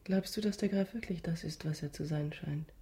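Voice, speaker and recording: A woman's voice: sits low, moderate pace, dark tone, close to an everyday voice. A woman acting a dignified, restrained middle-aged countess and mother in a German radio drama, speaking graciously and formally. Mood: uneasy.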